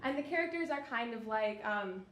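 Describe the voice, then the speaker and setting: Excitable young woman addressing an echoey hall. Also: on the high side, fairly quick. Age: young adult. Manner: giggly, excitable, rambling. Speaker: student playwright. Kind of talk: informal speech introducing own play. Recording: echoey hall, faint noise.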